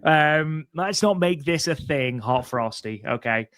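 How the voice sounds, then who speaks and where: A man's voice: fairly high pitch, lively intonation, measured pace, a bit bright, dry recording. A British man hosting a wrestling podcast in casual chat, with dry, mock-exasperated banter. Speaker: podcast host.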